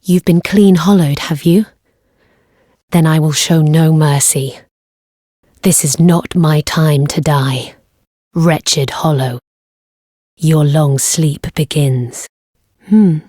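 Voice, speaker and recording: Dutiful lady knight, low mellow voice, formal and courteous, measured, reserved, earnest, fantasy game dialogue. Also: slightly rough, dry recording, studio quality, heavily acted. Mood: stern, then grave, formal. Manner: formal, measured, polite old-fashioned phrasing. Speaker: video game voice actor.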